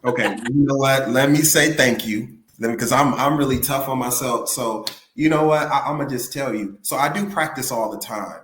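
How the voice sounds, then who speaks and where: A man's voice: medium pitch, normal speed, few pauses, a bit bright, reverberant, slight background noise. A male singer being interviewed, talking casually and rambling with lots of "you know what I'm saying". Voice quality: raspy.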